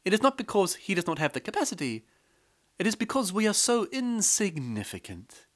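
A storyteller voicing the clever, humble wife of a poor Brahmin, speaking in a raised, soothing, flattering voice. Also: male voice, fairly quick. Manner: flattering, soothing, high-pitched.

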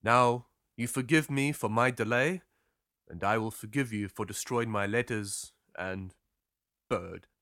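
A storyteller voicing an earnest-sounding but evasive male sailor in an emphatic, deliberate way. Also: mid-range voice, fairly bright tone, dry recording, clean studio sound, voice pushed down. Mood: forgiving.

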